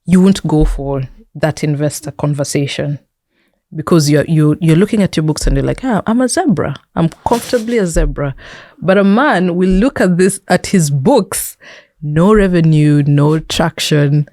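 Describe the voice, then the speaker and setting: A woman with a Kenyan accent talks as a guest in a conversational interview. Her voice is low and relaxed, recorded very dry. She explains by example and repeats words for emphasis. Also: moderate pace, no room sound. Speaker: interview guest, tech entrepreneur and founder.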